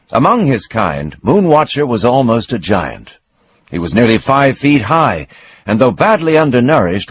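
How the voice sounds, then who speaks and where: A man reading a novel aloud as narrator, in a measured, expressive storytelling manner with a husky voice. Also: fairly low pitch, no room sound.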